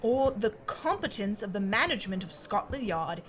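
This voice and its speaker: A woman's voice: mid-range voice, big pitch swings, moderate pace, close to an everyday voice. Actress as arch aristocratic lady, faint background noise. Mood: haughty. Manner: arch, wry, well-bred. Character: haughty, mischievous aristocratic British lady columnist. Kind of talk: period mystery audio drama acting.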